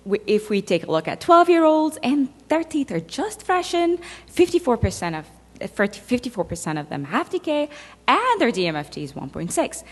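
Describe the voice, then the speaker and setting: A woman's voice: on the high side, big pitch swings, moderate pace. Egyptian-born woman speaking in a reverberant hall. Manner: conversational, with fillers and playful asides. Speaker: dentist giving a conference talk. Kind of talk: conference presentation. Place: large conference hall.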